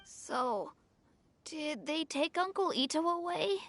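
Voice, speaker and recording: A woman voicing an innocent, excitable little boy in a video game, eager and childlike. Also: high voice, slow pace, slight background noise, full character voice. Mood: worried. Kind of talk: video game character dialogue.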